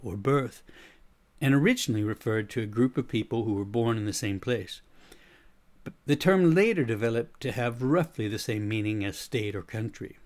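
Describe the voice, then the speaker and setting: Middle-aged American man, slight Swedish accent, coaching talk, even mid voice. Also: mid-range voice. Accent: American with a slight Swedish tinge. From United States. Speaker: leadership coach. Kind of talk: scripted talk on change.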